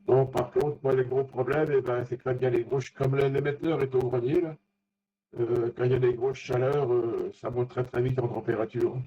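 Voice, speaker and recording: A man in a casual technical chat as an amateur radio operator, speaking haltingly and often searching for words. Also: medium pitch, steady tone, breathy edge. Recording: dull, lo-fi radio contact audio.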